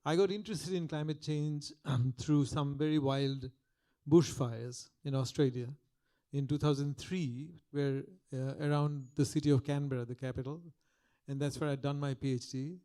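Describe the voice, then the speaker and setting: An older man with a delivers a public lecture in a soft, mellow voice on a podium microphone. He talks reflectively, often restarting his sentences, in a slightly raised register. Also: a little high, fairly even intonation, moderate pace. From Calcutta, India. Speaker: guest lecturer.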